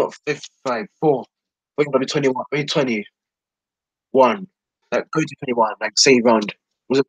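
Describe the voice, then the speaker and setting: A teenage male vlogger with a mid-pitched voice, recorded dry and close. He sounds tired and talks in a halting, repetitive ramble, often leaving sentences unfinished. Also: breathy edge, no room sound, muffled recording. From United Kingdom. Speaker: video creator talking to subscribers. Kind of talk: casual rambling chat to viewers.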